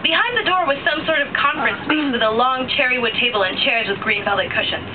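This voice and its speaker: Over loud vehicle noise and thin, phone-like sound, a woman reads romance fiction aloud in a high voice. She performs it as parody, with mock-serious drama and a giggly edge. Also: fast. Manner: mock-serious, giggly, often breaking into laughter. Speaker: storyteller reading aloud.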